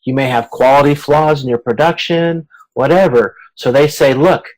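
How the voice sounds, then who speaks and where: A man's voice: medium pitch, normal speed, a little mellow, rough recording. A man giving an informal lecture over a video conference, explaining in colloquial language and often acting out short hypothetical remarks. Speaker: lecturer in supply chain management.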